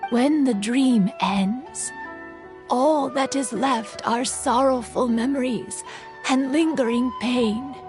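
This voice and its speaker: A sorrowful yokai spirit recounts an old story in a slow, solemn way. Her voice sits a little high and never hurries. Soft music plays underneath. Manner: slow, solemn, melancholy telling. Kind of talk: game cutscene storytelling.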